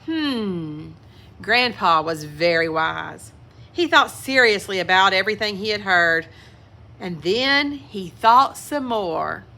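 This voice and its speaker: Animated, bright-voiced woman reading aloud. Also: medium pitch, slow. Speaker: children's story reader. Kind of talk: expressive picture-book read-aloud with asides to young listeners.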